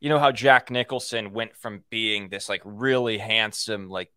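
A man's voice: on the low side, fairly even intonation, moderate pace, sharp tone, clear, resonant tone, no room sound, studio quality. A man with a young-sounding voice co-hosting a casual podcast, rambling with lots of "like" fillers and the odd joke. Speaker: podcast co-host.

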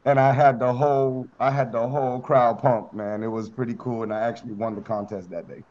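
A man being interviewed as a guest rapper, talking in a relaxed, easygoing way with lots of fillers. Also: medium pitch, moderate pace. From United States. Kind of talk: casual interview on a conference call. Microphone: conference call line. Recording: dull lo-fi call audio.

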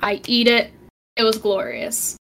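A young woman co-hosting a casual podcast chat, telling stories with lively acted-out quotes. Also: fairly high pitch, measured pace, gravelly. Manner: animated storytelling, acts out her own quotes. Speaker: podcast co-host.

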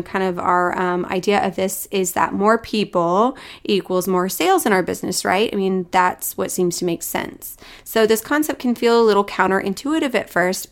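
A woman's voice: medium pitch, smooth. Woman podcast host, solo chat, slightly congested, bit scratchy, upbeat, encouraging, ums here and there. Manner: upbeat and encouraging, with frequent fillers. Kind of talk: casual solo podcast talk.

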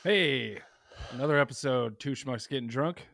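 A man's voice: medium pitch, moderate pace, vocal fry, a bit bright, no room sound. Man, podcast co-host, loose jokey banter, dead-dry sound. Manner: jokey, rambling, drops to mumbles. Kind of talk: casual chat.

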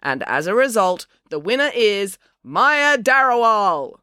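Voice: mid-range voice, sing-song, measured pace, pushed and bright, smooth, no room sound, clean studio sound, voice pushed up. A narrator voicing a pedantic, officious boy who declares things precisely in a storybook. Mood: declaring.

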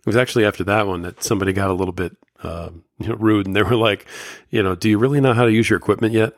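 A man's voice: on the low side, steady tone, very fast, dry recording, clean studio sound. A man hosting a solo podcast, talking candidly and motivationally, his voice slightly scratchy from a summer cold. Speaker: podcast host.

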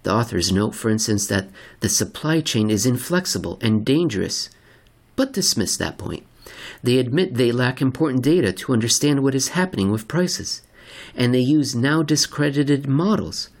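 A man narrating a scripted article as a podcast host, with theatrical, emphatic delivery and deliberate phrasing. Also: medium pitch, expressive.

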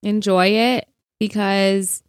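A woman's voice: mid-range voice, little pitch movement, takes it slowly, no room sound, clean studio sound. A woman co-hosting a podcast, talking about faith in an earnest, conversational way. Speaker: podcast co-host. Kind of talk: casual conversational faith talk.

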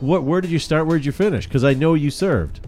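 A man's voice: fairly high pitch, quick pace, a little mellow, soft background music. A man hosting a live online show, joking, laughing often and reading out names from the chat. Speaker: host.

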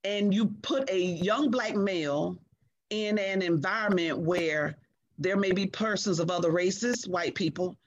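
A middle-aged woman speaking as a guest in a conversation, earnest and emphatic, measured in her delivery. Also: lowish voice, dry recording, highs cut off. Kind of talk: reflective conversation.

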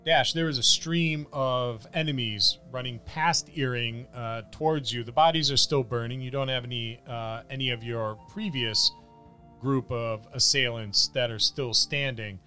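A man working as a game master, improvising halting, descriptive narration of a tabletop fantasy battle. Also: mid-range voice, bright, forceful tone, soft background music.

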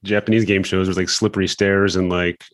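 Deep-voiced relaxed man chatting. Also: fairly quick. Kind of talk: casual conversation.

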